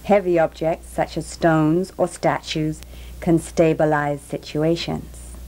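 A woman, a healthcare practitioner, giving calm, measured, scripted narration for a documentary. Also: deep voice, rough recording.

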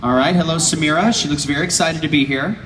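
Upbeat panel host, man, high tone; echoey PA from far off with crowd noise. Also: quick pace, fairly bright tone. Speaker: panel moderator. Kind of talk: hosting a Q&A. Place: outdoor stage at a fan event.

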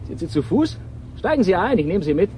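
Press officer: brisk, dismissive, bantering, very animated higher male voice, radio play. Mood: smug, brisk. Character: smug, brisk government press officer in his car. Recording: clear car and street noise.

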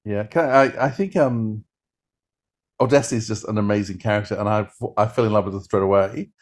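A man's voice: fairly low pitch, fairly quick. A middle-aged man chatting enthusiastically as a podcast host, reviewing stories casually on a video call. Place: indoors at home.

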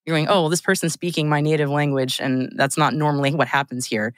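A woman's voice: low voice, fairly even intonation, fast talker, no room sound. A woman guest on a podcast, chatting casually, laughing often and restarting her sentences. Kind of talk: casual chat.

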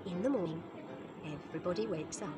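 A woman narrating a simple story in a clear, expressive, scripted storybook way. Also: lowish voice, fairly quick. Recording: music under the voice. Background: music.

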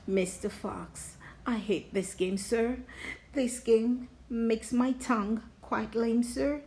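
A woman's voice: fairly high pitch, steady tone, slow, a little mellow. A woman voicing an exasperated, whining male creature in a storybook, protesting and pleading. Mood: weary, miserable.

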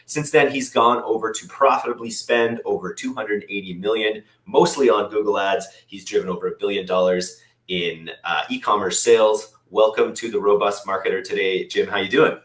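A man's voice: on the low side, fairly even intonation, normal speed, fairly bright tone. A man in his thirties with an Ontario Canadian accent, hosting an interview in a chatty, upbeat way with stumbling restarts. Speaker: podcast host. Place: small room, indoors. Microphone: studio mic on video call. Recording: echoey room.

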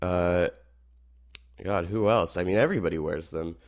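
A teenage boy hosting a rock radio show, slightly hoarse, wrapping up with rambling asides and many fillers. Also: sits low, normal speed. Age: teens. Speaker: radio host. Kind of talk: casual show wrap-up.

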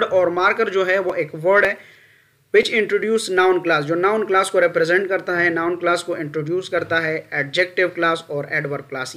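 High-pitched Pakistani man teaching. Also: fairly bright tone. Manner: didactic, repetitive, checking with tag questions. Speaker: English grammar teacher. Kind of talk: bilingual lesson, explaining rules with examples.

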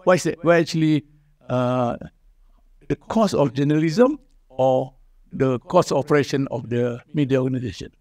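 Malaysian guy asking a question at a conference, medium pitch. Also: normal speed, a little mellow. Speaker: audience questioner.

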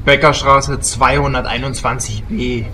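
A reserved, sceptical psychologist, acted by a man in a comedy radio play. He is terse and deadpan in a medium-pitched voice. Faint noise sits behind the speech. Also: measured pace. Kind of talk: amateur comedy audio drama.

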